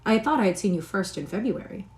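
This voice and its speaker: A woman voicing a clever, proud young lady with a quick, mocking wit. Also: mid-range voice, lively intonation, a little mellow, close to an everyday voice. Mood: teasing. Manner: brisk, mocking.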